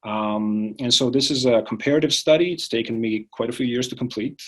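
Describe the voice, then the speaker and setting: A man's voice: medium pitch, a little fast, fairly bright tone, poor audio. A man from Croatia, an academic answering interview questions thoughtfully, with many fillers and restarts. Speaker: interviewee, political science academic.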